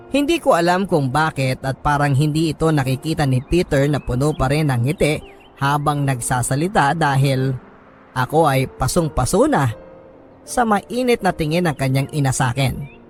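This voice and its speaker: Filipino man narrating, higher-pitched, over music. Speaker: radio host reading listeners' stories. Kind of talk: dramatic scripted first-person story narration. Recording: music bed under the voice. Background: background music.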